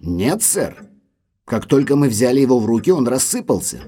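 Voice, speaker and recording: An actor playing a loyal, discreet middle-aged butler in an audio drama, speaking in a measured, respectful, formal way. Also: male voice, mid-range voice, sing-song, dark tone, breathy edge. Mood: humble, apologetic.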